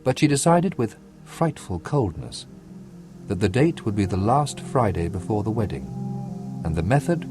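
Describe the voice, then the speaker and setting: Male audiobook narrator: deep register, very varied intonation, scripted literary fiction. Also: big pitch swings, normal speed, darkish tone, soft background music.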